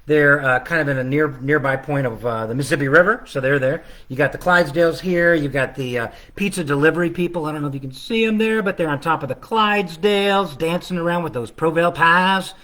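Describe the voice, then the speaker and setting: A man's voice: a little high, a little fast, few pauses, pushed and bright. A man reading his own picture book aloud at a children's storytime, chatting casually between the verses and stretching out the repeated refrain.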